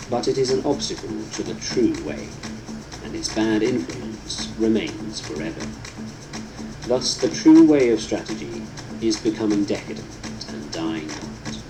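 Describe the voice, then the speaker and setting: A man reading a book aloud as scripted narration, in a solemn, deliberate, instructive manner. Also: on the low side, low-quality recording. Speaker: audiobook narrator. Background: prominent instrumental music.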